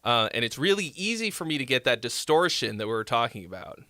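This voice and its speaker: Man, nasal voice, bright tone, dry close sound, rambling livestream host. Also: mid-range voice, very animated, fast talker, dry recording. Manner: rambling, many fillers and restarts. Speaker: music critique livestream host. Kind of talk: casual livestream song feedback.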